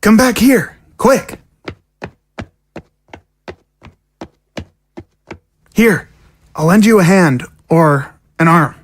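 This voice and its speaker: A woman playing a husky young zombie girl, persuasive. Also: fairly low pitch, animated, takes it slowly. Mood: urgent. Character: pleading, scheming young zombie girl held captive in a cage. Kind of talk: audio drama acting.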